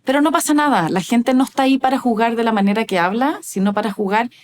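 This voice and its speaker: A woman's voice: a little high, fast. A woman researcher, speaking as a guest in a casual interview, thoughtful and hesitant with many fillers and restarts.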